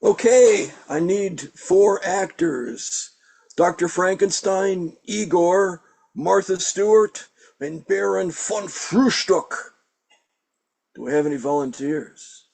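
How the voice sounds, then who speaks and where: A man with a high, creaky voice acts as writer and narrator of a comedy sketch. He talks casually as he assigns parts and reads out the stage directions. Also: takes it slowly, a little mellow.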